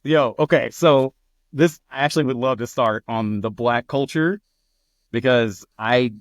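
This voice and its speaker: An American man hosting a podcast, interviewing in an informal, halting, conversational way. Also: medium pitch, dry recording, clean studio sound. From United States.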